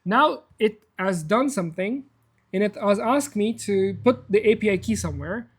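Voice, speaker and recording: A man's voice: high-pitched, lively intonation, moderate pace, husky. Persian guy running a live coding demo, chatty and self-deprecating, lots of restarts. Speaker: workshop host.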